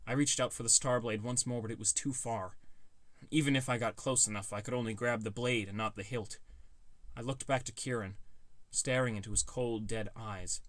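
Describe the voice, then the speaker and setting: A man's voice: mid-range voice, fairly even intonation, moderate pace, no room sound. A man reading a scripted first-person fantasy story as an audiobook narrator.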